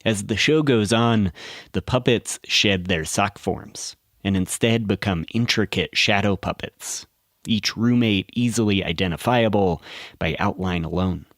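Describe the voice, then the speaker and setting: A man narrating a scripted documentary podcast in a measured, storytelling way. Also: lowish voice, fairly bright tone, dry recording, studio quality.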